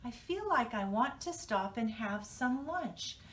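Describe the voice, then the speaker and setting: Woman teacher with very lively, swooping pitch. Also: slow pace, far from the mic. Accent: American. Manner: instructional, question-and-prompt delivery for children. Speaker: preschool teacher. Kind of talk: reading lesson and storytelling for young children.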